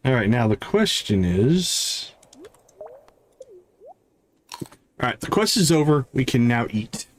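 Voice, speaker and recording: Male streamer, casual gameplay commentary, lively intonation, thinking aloud, faint background noise, clicks. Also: medium pitch, moderate pace. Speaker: game livestream host.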